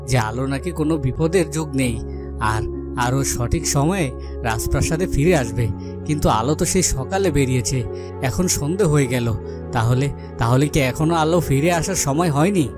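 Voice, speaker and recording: A man's voice: a little high, close to an everyday voice. A man playing a worried, brooding king in a fairy-tale cartoon, with dramatic, self-questioning delivery. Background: music.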